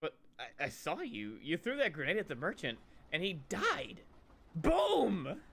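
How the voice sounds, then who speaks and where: A man's voice: sits high, huge pitch swings, measured pace, creaky, a bit bright, husky, pitched above the performer's own voice, full character voice. A man voicing an anxious, sarcastic young woman who narrates her ordeal in a visual novel read-aloud. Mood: bewildered.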